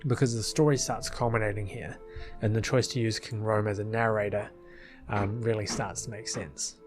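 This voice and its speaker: A man's voice: lowish voice, little pitch movement, normal speed. Casual man rambling, music underneath. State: mouth partly numb after dental work. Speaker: video creator. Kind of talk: casual rambling commentary over a film edit. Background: background music.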